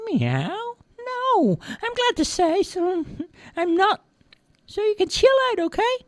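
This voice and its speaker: A woman's voice: very high-pitched, wildly swooping pitch, slow, dry recording, pitched above the performer's own voice, heavily acted. A woman voicing a cool, cheeky female cat who talks in hesitant slang peppered with meows. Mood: relaxed, reassuring.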